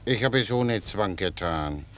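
Naive, rambling soldier, noisy recording. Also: male voice, on the low side, animated, unhurried, fairly bright tone. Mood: dutiful, guileless. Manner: rambling, folksy, guileless. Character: naive, talkative, good-natured Czech soldier, cheerfully obedient. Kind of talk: radio play acting. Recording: noisy radio play recording.

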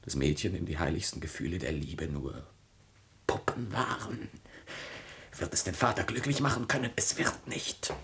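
A man's voice: deep voice, very animated, normal speed, creaky, fairly bright tone, a little hiss or hum, exaggerated voice. An actor playing an anguished, jealous young nobleman in a declamatory classical tragedy for radio, moving between whispers and outcries. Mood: dark brooding.

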